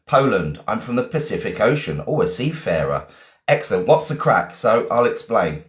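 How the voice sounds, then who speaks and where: A man's voice: fairly low pitch, very animated, moderate pace, echoey room. A man with an English accent, a teacher greeting viewers one by one in a chatty live-stream lesson. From England, Essex.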